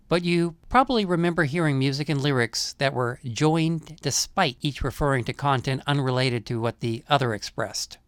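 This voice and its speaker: A man narrating a scripted essay on film music in a measured, explanatory manner. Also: on the high side, smooth, no room sound, studio quality.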